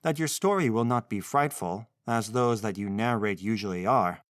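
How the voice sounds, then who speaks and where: A man playing a gentle mother who speaks calmly and seriously, a touch higher than the reader's usual voice. The sound is close and dry. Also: moderate pace, no room sound, close to an everyday voice.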